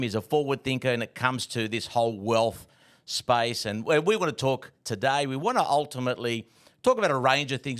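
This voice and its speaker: A man hosting a podcast in a chatty, upbeat way, often repeating his words. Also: mid-range voice. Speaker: podcast host. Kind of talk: casual podcast chat.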